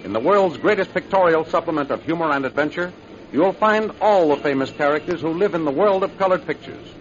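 High-pitched American 1930s radio announcer, a man. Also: expressive, brisk. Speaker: announcer and narrator. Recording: muffled vintage radio recording. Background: music.

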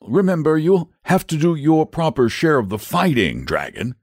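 A man's voice: on the high side, wildly swooping pitch, moderate pace, a little mellow, no echo, clean studio sound, pitched above the performer's own voice, exaggerated voice. A man voicing an earnest, patient, confident storybook knight who reasons in an eager, persuasive way. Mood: reminding. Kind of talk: storybook character voice.

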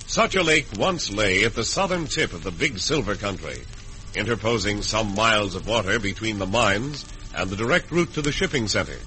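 Dramatic American western narrator, very bright forceful voice, lowish pitch, light music. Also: male voice, a little hiss or hum, rough recording. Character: dramatic western radio announcer. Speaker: announcer-narrator. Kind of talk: scripted radio narration.

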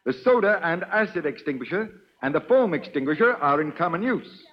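An actor playing a formal, courteous school doctor in a 1940s radio drama. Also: male voice, on the high side, animated, brisk. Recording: dull old radio recording.

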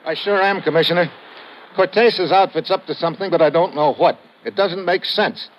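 An actor playing a tough, dogged American investigator in an old radio drama, talking and narrating in a clipped, slangy way. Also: male voice, high-pitched, normal speed, lightly acted. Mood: urgent. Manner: clipped, slangy, hard-boiled. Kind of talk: 1950s radio drama acting with first-person narration. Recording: muffled, noisy old radio recording.

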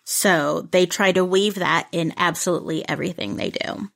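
A woman's voice: mid-range voice, fairly bright tone. Upbeat woman reviewing books. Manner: enthusiastic, conversational book recommendations. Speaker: podcast co-host. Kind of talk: book review podcast.

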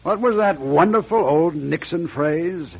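An actor playing a blunt, cynical older executive who talks down to people in a radio drama. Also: male voice, fairly high pitch, measured pace, vocal fry, husky, heavily acted. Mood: cynical, matter-of-fact. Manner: brash, patronizing. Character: blunt, cynical older former company president. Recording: dull lo-fi old radio recording.

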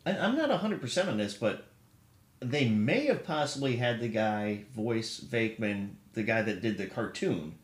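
A man's voice: on the low side, lively intonation, moderate pace. A man in a casual podcast chat about video games and movies, talking in a rambling, conversational way. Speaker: podcast co-host. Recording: roomy echo with faint noise.

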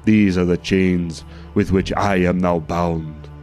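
A stony, anguished fantasy warrior brother, performed by a male player, very low and dark in tone. The speech is formal and grave, proud and wounded, with faint music underneath. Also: steady tone, takes it slowly. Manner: grave, formal, theatrical speech without contractions. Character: brooding, proud, hardened brother, stoic yet anguished.

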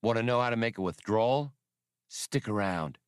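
A man's voice: fairly low pitch, moderate pace, smooth, no room sound, studio quality, close to an everyday voice. A man voicing a cocky, impatient starship smuggler captain in an audiobook. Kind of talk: audiobook character voice.

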